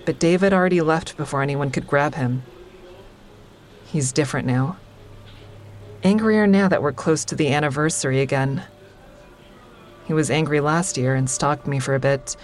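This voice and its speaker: A low-pitched female narrator in a fiction podcast, played by an actress. She is a wry, wary comic who speaks candidly, as if confiding, with dry bluntness. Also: lightly acted. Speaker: lead character and narrator. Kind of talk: first-person audio drama narration.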